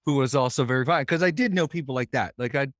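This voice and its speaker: A man's voice: medium pitch, fast, a bit bright, no room sound, studio quality. A man from Boston, a musician, talking in a thoughtful, meandering way with many restarts as a guest over a video call. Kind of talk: casual interview chat.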